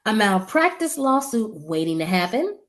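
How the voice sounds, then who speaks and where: An actress playing a blunt but friendly doctor in an audio play, with dry, teasing humor. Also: female voice, mid-range voice, very animated, moderate pace, close to an everyday voice. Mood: wry.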